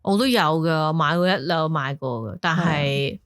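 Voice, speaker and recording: A woman, co-hosting a podcast in casual chat. She is playful and blunt, often cutting in with quick remarks. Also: deep voice, no room sound, clean studio sound. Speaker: podcast co-host.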